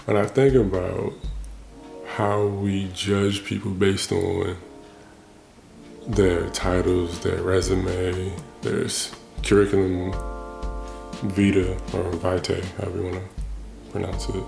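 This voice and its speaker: A man's voice: low voice, monotone, takes it slowly, vocal fry, long pauses, roomy sound, over music. A man giving a casual, reflective monologue in an informal, rambling way, repeating phrases for emphasis. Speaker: host of a personal talk show.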